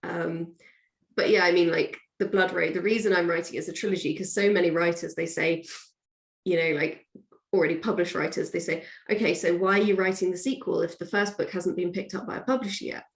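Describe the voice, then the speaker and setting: A woman's voice: lowish voice, fairly even intonation, fairly quick, vocal fry. A woman guest author talking casually and with animation in an interview, often acting out what people say. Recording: echoey, roomy sound.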